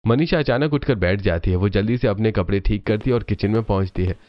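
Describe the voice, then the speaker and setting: A man's voice: lowish voice, fast talker, no room sound, muffled recording. A man narrating a scripted cartoon family story as the storyteller.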